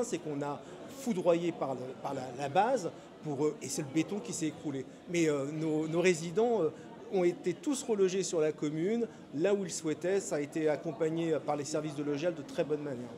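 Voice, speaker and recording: Hesitant middle-aged man speaking to press. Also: fairly high pitch. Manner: measured, frequent hesitations. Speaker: mayor. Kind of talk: interview statement to press.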